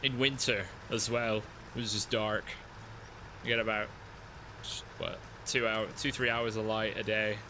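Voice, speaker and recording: Male game streamer, relaxed chatter, gravelly edge, engine noise behind. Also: fairly low pitch, unhurried. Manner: relaxed, chatty, answers chat between driving comments. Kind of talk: casual live-stream chat while playing.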